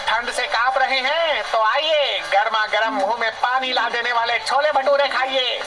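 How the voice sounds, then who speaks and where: A man playing a street food vendor in an animated moral story, with a theatrical delivery. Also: very high voice, normal speed, far from the mic, background noise. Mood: hawking loudly. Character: greedy, brazen street food vendor, later humbled and remorseful.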